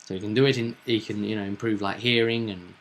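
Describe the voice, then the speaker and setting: A man's voice: fairly low pitch, steady tone, fast talker, fairly bright tone. A man running a tabletop roleplaying game, explaining things in a reflective, conversational way. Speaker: game master.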